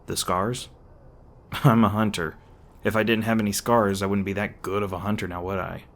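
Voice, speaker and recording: A man acts out an edgy monster hunter in an audio roleplay. His voice sits fairly low, and his talk is sarcastic and brash. Underneath he is protective and soft-hearted, stumbling over words when flustered. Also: flat delivery, normal speed, natural voice. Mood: casual, teasing. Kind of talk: ASMR roleplay voice acting.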